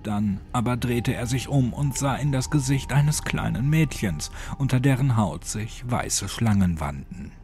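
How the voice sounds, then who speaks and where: A man's voice: mid-range voice, expressive, measured pace, hardly any pauses, darkish tone. A man narrating a horror story as a dramatic audiobook reading. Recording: music behind the voice. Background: music.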